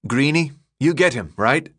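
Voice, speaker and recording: A man's voice: medium pitch, expressive, a little slow, fairly bright tone, slightly rough, pitched above the performer's own voice. A man voicing a friendly, cheeky teenage boy with a thick, odd accent and breezy slang in an audiobook. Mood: calming.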